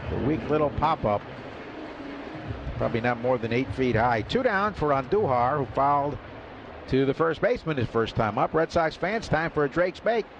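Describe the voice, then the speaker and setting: A man's voice: mid-range voice, expressive, moderate pace, lo-fi sound. Man calling baseball on radio. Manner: easygoing, chuckles while calling plays. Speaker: play-by-play radio announcer. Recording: radio broadcast with crowd noise behind.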